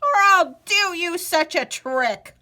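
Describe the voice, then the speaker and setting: A woman voicing a cranky, impatient eight-hundred-year-old man in a storybook, croaking and hollering indignantly. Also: very high-pitched, lively intonation, slow pace, sharp tone, voice pushed up, heavily acted.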